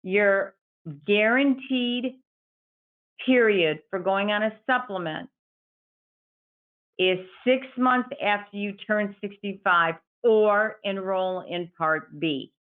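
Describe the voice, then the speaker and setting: A woman's voice: mid-range voice, takes it slowly. A woman, an insurance advisor, patiently explaining Medicare options in an interview and quoting her clients. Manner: patient, explanatory, quotes clients in their own words. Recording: tinny phone-like line.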